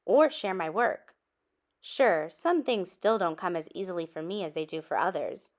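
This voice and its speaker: A woman reading a children's story aloud expressively, with dramatic emphasis and drawn-out words. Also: mid-range voice. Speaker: storybook reader.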